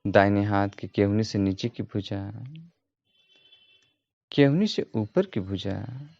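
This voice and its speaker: A man giving guided meditation instruction as a yoga teacher, in a calm, measured, repetitive way. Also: fairly low pitch, gravelly. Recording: roomy echo, dull muffled sound.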